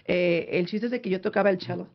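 A woman in her late thirties from California talks fast in Spanish, with a gravelly fry running through her voice. She co-hosts with encouraging asides. The sound is dull and lo-fi. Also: fairly low pitch. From San José, California. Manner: encouraging, tells little stories. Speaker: co-host. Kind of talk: casual interview chat.

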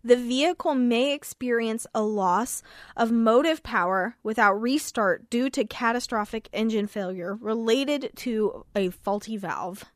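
A woman's voice: fairly high pitch, a little slow, no echo, studio quality. A woman with a scratchy voice, co-hosting a radio talk show in a casual, chatty style.

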